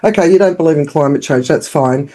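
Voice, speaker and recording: A woman with an Australian accent, a founder speaking as a podcast guest in a frank, lively, conversational way. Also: low voice, fast. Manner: lively and frank, with frequent restarts and fillers. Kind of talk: casual interview talk.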